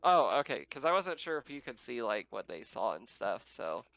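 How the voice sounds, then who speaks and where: Chuckly game master, smooth male voice, dull audio. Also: medium pitch, sing-song, normal speed, fairly bright tone, no room sound. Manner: chuckles often while talking. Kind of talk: casual narrating of an online tabletop game. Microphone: online voice call.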